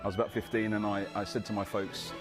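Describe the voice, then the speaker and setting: Middle-aged man, lowish voice, casual interview, music underneath. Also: level tone, quick pace. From Southeast London. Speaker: musician, conductor.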